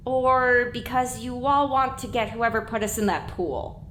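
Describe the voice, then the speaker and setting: A woman's voice: fairly high pitch, measured pace, fairly bright tone, echoey room, a little hiss or hum. A woman playing a questioning, hesitant female pony in an audio drama. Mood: uneasy, questioning.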